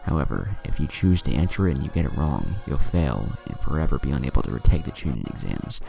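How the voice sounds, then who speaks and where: Man reading as a stern gravelly exam proctor. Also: very deep voice, sing-song, fast talker, dark tone, faint music behind, exaggerated voice. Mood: cold, unyielding. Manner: curt, authoritative.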